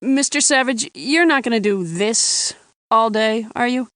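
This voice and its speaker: An actor voicing a weary, deadpan courtroom judge in a cartoon comedy. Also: medium pitch, unhurried, no room sound, clean studio sound. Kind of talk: animated comedy courtroom dialogue.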